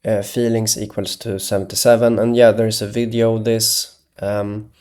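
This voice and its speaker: Man, solo vlog monologue, hesitant, dark mellow tone, lowish voice. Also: flat delivery. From Sweden. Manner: hesitant, full of pauses and restarts. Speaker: video blogger. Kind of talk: rambling solo monologue to camera.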